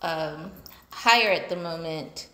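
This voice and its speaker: A woman in her thirties chatting casually to camera in unhurried, short phrases with pauses, recorded on a phone in a large indoor room. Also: low voice, bright, forceful tone. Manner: unhurried, pausing between short phrases while busy with chores. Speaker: solo entrepreneur and vlogger. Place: large indoor basement-like room with exposed pipes. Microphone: phone propped at about arm's length or more. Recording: echoey room.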